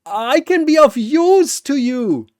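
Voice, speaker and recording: A man's voice: very high voice, expressive, slow pace, darkish tone, no echo, clean studio sound, voice pushed up, heavily acted. A man voicing a cornered villain officer in a story, careful and overly polite as he bargains. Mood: panicked pleading.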